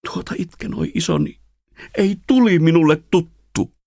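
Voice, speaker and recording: Guy doing scared anguished young son, pleading in old verse, voice way up high, super dry sound. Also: big pitch swings, dark tone, no echo. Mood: anguished lament. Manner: pleading, lamenting recitation. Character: frightened, anguished young son, oversensitive and fearful.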